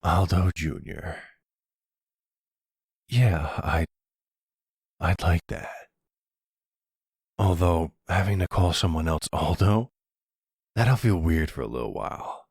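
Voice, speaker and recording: A man plays a charming mob boss at his most tender. His voice is extremely low and husky, and he speaks slowly and reassuringly, as a devoted middle-aged partner in an ASMR roleplay. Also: no room sound, studio quality, full character voice. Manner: gentle, reassuring, deliberate.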